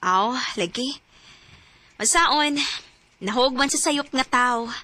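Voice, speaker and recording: A woman's voice: high voice, expressive, takes it slowly, bright, forceful tone, heavily acted. A Cebuano Filipino woman acting a lonely, hopeful separated mother in an audio drama, both in dialogue and first-person narration. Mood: playful, shy.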